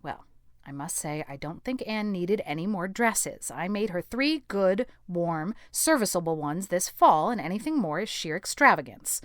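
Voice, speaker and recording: A woman's voice: on the low side, very animated, normal speed, no echo, clean studio sound. Tart, disapproving stern spinster, as a woman plays her. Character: stern, thrifty middle-aged spinster. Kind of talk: audiobook character acting.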